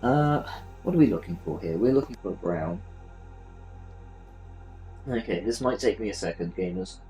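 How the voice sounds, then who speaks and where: Southern English streamer, slightly low voice, easygoing rambling chat, soft music behind. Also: male voice, lively intonation, moderate pace. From Southern England. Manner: rambling and self-deprecating, many fillers. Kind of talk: casual chat while painting miniatures.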